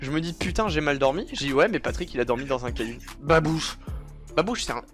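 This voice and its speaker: A man's voice: a little high, quick pace, fairly bright tone. A man hosting a casual, joking chat, speaking in slang with mock boasts. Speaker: host. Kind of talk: casual joking chat, ranking cartoon characters. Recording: music playing behind the voice. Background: music.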